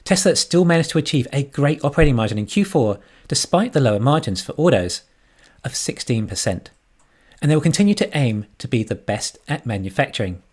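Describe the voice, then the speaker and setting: A man's voice: medium pitch, very animated, fairly quick, darkish tone. A man giving scripted financial commentary as an online analyst and narrator. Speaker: YouTube stock analyst.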